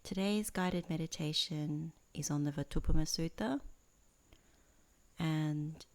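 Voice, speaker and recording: A woman teaching in a guided meditation, speaking in measured, earnest phrases with pauses between them. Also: deep voice, darkish tone. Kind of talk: guided meditation introduction, Dhamma talk.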